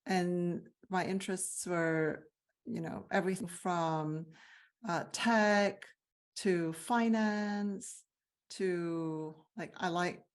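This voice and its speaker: A woman's voice: lowish voice, steady tone, very slow, clean tone. A woman from Malaysia who works as a CEO coach, answering interview questions in a thoughtful, earnest way. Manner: thoughtful, earnest, with fillers and restarts. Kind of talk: interview, reflective personal answers.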